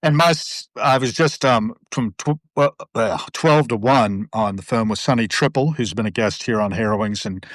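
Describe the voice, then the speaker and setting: A man's voice: mid-range voice, normal speed, hardly any pauses, no room sound. A middle-aged man hosting a casual live video chat, talking in long, rambling, digressive stretches. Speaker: host. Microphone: video call.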